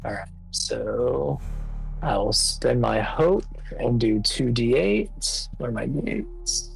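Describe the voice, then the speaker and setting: A man talking casually as a player in a tabletop game, explaining his moves in a hesitant, halting way. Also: medium pitch, expressive, vocal fry, husky, rough recording. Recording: background instrumental music under voice. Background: instrumental music.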